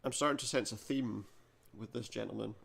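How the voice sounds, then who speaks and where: A man's voice: lowish voice, a little fast, creaky, fairly bright tone. A man giving casual let's play commentary on a game, with dry joking asides. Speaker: gaming video host.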